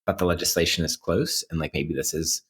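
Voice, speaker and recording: Deep, bright-voiced man hosting a podcast. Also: fairly even intonation, fairly quick. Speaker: podcast host. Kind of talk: casual intellectual podcast interview.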